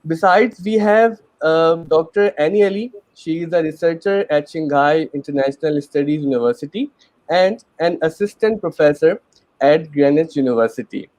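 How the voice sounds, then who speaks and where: A man's voice: a little high, normal speed, a little mellow, dry recording, low-quality recording. A man with a Pakistani accent hosting a panel webinar in a chatty, earnest way, with many fillers. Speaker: webinar host.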